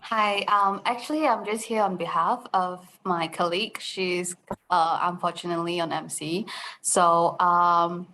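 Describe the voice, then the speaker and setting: A woman's voice: lowish voice, fairly even intonation, unhurried, pushed and bright, a little hiss or hum, low-quality recording. A woman from Malaysia in her twenties introducing herself casually over a video call, with plenty of fillers. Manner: casual, hesitant, many fillers. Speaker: meeting attendee.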